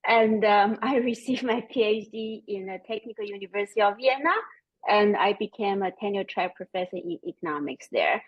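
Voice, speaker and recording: Woman w/ german-chinese accent on a panel, kinda husky, dull lo-fi sound, lots of ums. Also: medium pitch. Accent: German and Chinese mixed. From Austria. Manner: measured, with frequent "um" and "you know". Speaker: panelist, company CEO. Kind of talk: panel discussion.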